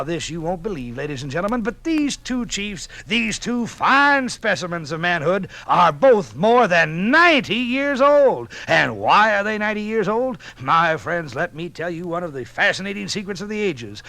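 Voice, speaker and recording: An actor playing a slick medicine show huckster, calling out theatrical sales patter in 1950s radio drama style. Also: male voice, sits high, bright, forceful tone, no echo, full character voice. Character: slick fast-talking medicine show huckster peddling miracle cures. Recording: vintage 1950s radio recording, faint music.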